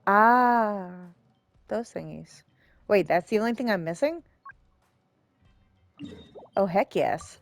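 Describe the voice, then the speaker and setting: A woman's voice: medium pitch, slow, creaky, pauses often. A woman streamer giving casual let's play commentary in a rambling, hesitant, self-deprecating way. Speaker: game streamer, host.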